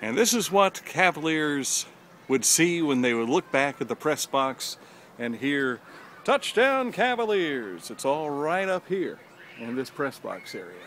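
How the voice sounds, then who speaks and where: A man's voice: on the high side, sing-song, measured pace, a bit bright, a little hiss or hum. Chatty man giving a stadium walking tour. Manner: chatty, folksy, hometown pride. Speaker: local stadium tour guide. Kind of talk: handheld walking tour narration. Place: outdoor high school stadium. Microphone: handheld camera at arm's length.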